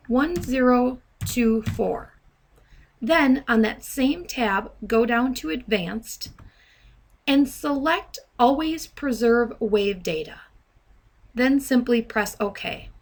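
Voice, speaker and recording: Woman doing a software how-to, highish voice w/ some vocal fry, deliberate and instructional. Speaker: software trainer, presenter. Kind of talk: step-by-step tutorial narration. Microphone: handheld dictation microphone.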